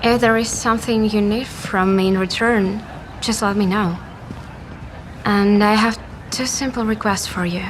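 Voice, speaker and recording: An actress playing a bold jilted young bride in an audio drama, polite and forthright. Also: female voice, mid-range voice, steady tone, unhurried, slight background noise, natural voice. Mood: polite, earnest.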